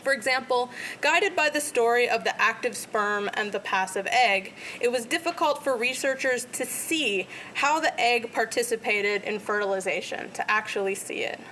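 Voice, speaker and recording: A woman giving an academic lecture in a lecture room, with a loud voice and a lively way of explaining. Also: on the high side, normal speed, hardly any pauses, a little hiss or hum, poor audio. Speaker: guest lecturer. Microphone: podium microphone.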